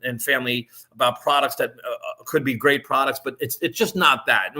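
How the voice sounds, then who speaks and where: A man talks loosely to a live audience, stumbling through restarts and tossing in "right?" every few lines. His voice sits slightly high for a man and rings bright and forceful. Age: 50s. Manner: conversational, rambling with frequent restarts and "right?" checks. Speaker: online business presenter. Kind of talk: live-streamed sales pitch.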